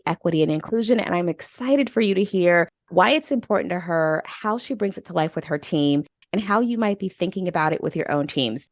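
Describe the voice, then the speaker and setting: A woman's voice: fairly low pitch, dry recording. Upbeat woman podcast host, dry sound. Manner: upbeat, enthusiastic. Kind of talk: scripted podcast intro and interview.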